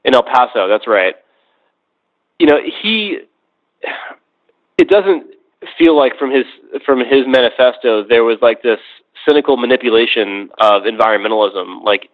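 A man's voice: mid-range voice, normal speed, long pauses. A man in a casual discussion over a thin phone-like connection, tentatively qualifying his points as he goes. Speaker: podcast discussion guest. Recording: thin, narrow phone-like line.

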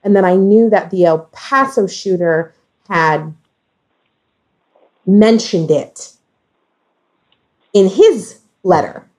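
Halting woman thinking out loud. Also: medium pitch, expressive. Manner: halting, thinking aloud, self-correcting. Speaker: podcast discussion guest. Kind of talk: casual conversational discussion.

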